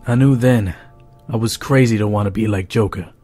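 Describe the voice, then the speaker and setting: A man's voice: fairly low pitch, normal speed, mellow, close to an everyday voice. A man playing a glum, uneasy henchman in a motion comic, brooding and hesitant as he speaks. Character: glum, uneasy male henchman narrating his regrets. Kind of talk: dramatic motion comic narration and dialogue. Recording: faint music behind the voice. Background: faint music.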